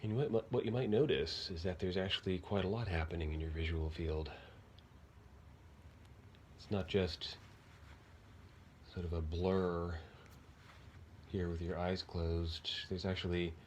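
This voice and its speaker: A man leading an unscripted guided meditation in a gentle, halting, unhurried manner with long pauses. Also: low-pitched, steady tone, a little hiss or hum.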